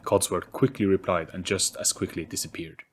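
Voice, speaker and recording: Low-voiced man narrating a spooky story. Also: steady tone, moderate pace, husky. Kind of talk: scripted dramatic story narration.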